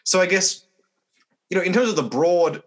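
Man hosting, slightly high voice. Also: animated, moderate pace. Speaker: podcast host, church minister. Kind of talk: conversational podcast interviewing.

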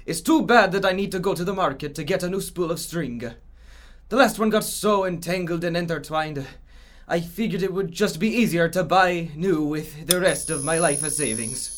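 A fussy, lonely old male woodcarver in an audio drama, played by a man. His voice sits high for a man. He rambles and grumbles with theatrical flair. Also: lightly acted.

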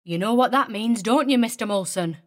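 A woman's voice: fairly high pitch, a little fast, close to an everyday voice. A woman playing a boisterous, bossy, aggrieved innkeeper's wife in a dramatised story. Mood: indignant. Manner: boisterous, exclamatory.

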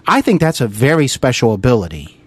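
American man interviewing, lively intonation. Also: medium pitch, a little fast, breathy edge, no room sound. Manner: admiring, earnest. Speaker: interview host. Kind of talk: conversational radio-style interview.

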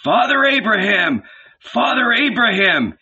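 A man's voice: high-pitched, very animated, measured pace, pushed and bright, slightly rough, dull audio, pitched above the performer's own voice, exaggerated voice. A man voicing an anguished dead rich man who cries out and begs from hellfire, in a storybook reading. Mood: anguished, begging. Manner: crying out, begging.

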